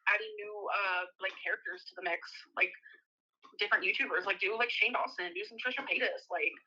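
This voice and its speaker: Woman, very bright forceful voice, quick and blunt, casual argumentative phone call, lo-fi sound. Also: medium pitch, husky. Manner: blunt, swearing. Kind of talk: confrontational casual phone conversation. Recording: dull lo-fi phone call recording.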